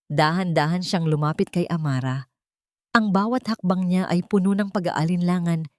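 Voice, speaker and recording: A woman storyteller narrating a scripted dramatic tale in a deliberate, emotive manner. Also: fairly low pitch, darkish tone, no echo, clean studio sound.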